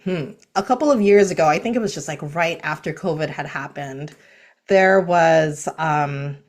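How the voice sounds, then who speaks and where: A woman's voice: low voice, moderate pace. A woman from Hawaii telling stories in a casual panel chat, acting out quoted lines as she goes. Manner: animated storytelling with quoted asides.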